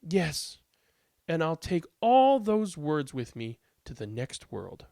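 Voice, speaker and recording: Man reading as a proud, gentle old grandmother. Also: fairly high pitch, sing-song, slow pace, creaky, no room sound, exaggerated voice. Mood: tender pride. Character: proud, gentle elderly Indian grandmother in a storybook.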